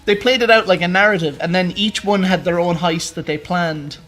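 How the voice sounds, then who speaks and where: A man's voice: high-pitched, fairly quick, fairly bright tone. A man co-hosting a podcast, chatting casually and telling stories in an informal, enthusiastic way. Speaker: podcast co-host. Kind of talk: casual chat about games.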